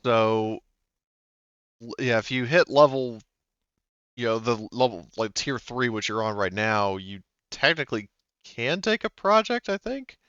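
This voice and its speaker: A man's voice: medium pitch, lively intonation, a little slow, a bit bright, clear, resonant tone, no room sound, dull audio. Hesitant man running a game. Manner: hesitant, thinks aloud with many fillers. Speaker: game master. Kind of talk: running a tabletop game session.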